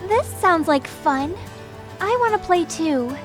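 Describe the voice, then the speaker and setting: A coy, breezy young sorceress voiced by a woman for an audio drama. Her voice is very high and she takes her time over words. Music sits faintly behind her. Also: animated, slow pace, exaggerated voice. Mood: playful, insistent. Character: playful, stubborn young woman with magic powers.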